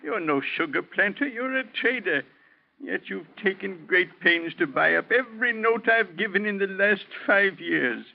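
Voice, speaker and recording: Creaky, proud old Southern aristocrat. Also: male voice, sits high, lively intonation, moderate pace. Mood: quietly defiant. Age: older. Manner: formal, courtly. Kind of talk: 1940s radio acting. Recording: dull, crackly old radio recording.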